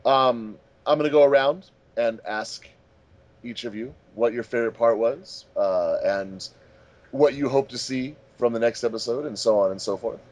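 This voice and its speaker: A man with a mid-pitched voice hosts an informal group commentary. He rambles a little, hesitates as he goes and hands out compliments in a conversational way. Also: normal speed. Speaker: host of a fandub commentary track.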